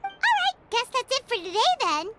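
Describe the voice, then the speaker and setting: A woman voicing a small, chirpy, childlike fairy companion in a video game, with a squeaky, eager delivery. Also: very animated, moderate pace, over music. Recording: loud background noise.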